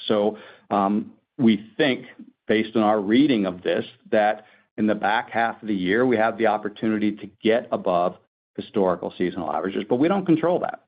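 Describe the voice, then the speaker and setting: A man speaking as a company executive, answering analysts on an earnings call in a measured, careful corporate manner. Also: on the low side. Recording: dull, lo-fi conference call audio.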